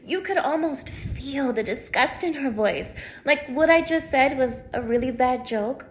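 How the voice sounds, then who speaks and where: A woman's voice: fairly high pitch, a little hiss or hum. A young woman acting a cruel, contemptuous captor in an audio roleplay, taunting one listener in a mocking, degrading manner. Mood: mocking disbelief. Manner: mocking, degrading, swinging from hushed menace to shouting.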